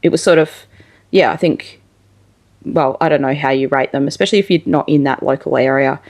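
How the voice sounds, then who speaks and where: A woman's voice: low voice, a little fast, a little mellow. A woman with an Australian accent chatting casually as an interviewer and musician, in an informal way full of "like". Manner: informal, peppered with "like". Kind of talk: casual chat.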